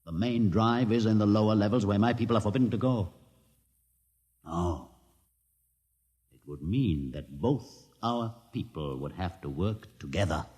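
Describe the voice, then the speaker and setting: A man playing a grave, deep-voiced mutant leader. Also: lightly acted. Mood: earnest. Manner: slow, grave, dramatic storytelling. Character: aged mutant leader, lame, solemn and wise. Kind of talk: 1950s radio drama acting. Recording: old radio broadcast with long reverb.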